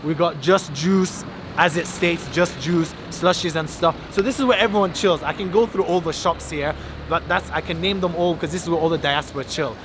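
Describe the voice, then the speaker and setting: A man's voice: a little high, moderate pace. A man from the UK with a British accent, acting as a chatty, informal local guide out on the street. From United Kingdom. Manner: chatty, informal, lists and explains. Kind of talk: casual guided-tour chat. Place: outdoors on city streets and in vehicles. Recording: noisy outdoor street and traffic.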